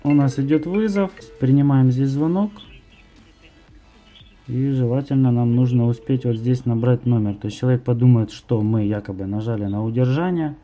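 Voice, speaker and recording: A man's voice: medium pitch, normal speed, warm tone, lo-fi sound, faint music behind. A young man narrating a tutorial for viewers as a channel host, explaining things in an informal way. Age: young adult. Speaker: host of a phone tips channel.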